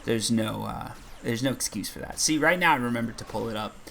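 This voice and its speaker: A man hosting a gaming channel, chatting casually and rambling with self-deprecating asides as he plays, his voice a little raspy. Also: medium pitch, fairly quick, a bit bright, a little hiss or hum. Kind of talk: casual gameplay commentary.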